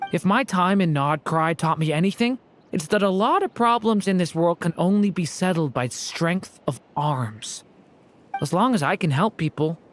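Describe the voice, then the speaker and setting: Earnest, gentle young would-be warrior. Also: female voice, lowish voice. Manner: sincere, plain-spoken. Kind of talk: video game dialogue acting.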